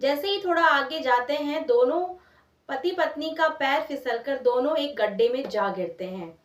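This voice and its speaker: A woman's voice: sits high, lively intonation, normal speed. A woman telling a moral story expressively in everyday, conversational words, repeating phrases for emphasis. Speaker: storyteller, channel host. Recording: roomy echo, distant from mic.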